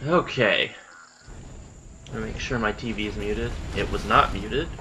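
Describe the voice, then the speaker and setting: A man's voice: on the low side, measured pace, noisy background. A man hosting casual gameplay commentary, sounding stuffy in the nose after just waking up, chatty and self-deprecating. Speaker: gaming channel host. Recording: game sound and gunfire behind.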